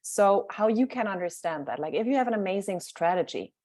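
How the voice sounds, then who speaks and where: A woman's voice: medium pitch, expressive, brisk, a little mellow. A woman from Germany with a German accent, speaking informally as a mindset coach and thinking aloud as she goes. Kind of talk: guest talk on a livestream.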